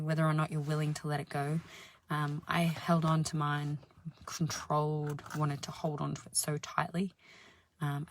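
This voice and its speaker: Low husky lady, gravelly edge, slow soothing spiritual talk, dry close sound. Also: no echo. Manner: slow, soothing, meditative pacing with soft whispered asides. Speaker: spiritual healer and channel host. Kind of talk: calm spiritual self-help talk.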